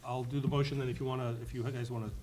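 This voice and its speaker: A man's voice: medium pitch, flat delivery, very fast, a little mellow. Male committee member reading formal motions. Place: school meeting room. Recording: noisy public meeting room recording.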